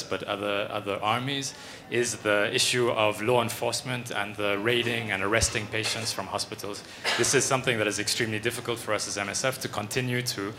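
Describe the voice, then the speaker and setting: Bright-voiced measured man. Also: on the low side, a little hiss or hum. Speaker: panelist for a medical aid group. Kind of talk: panel Q&A answer.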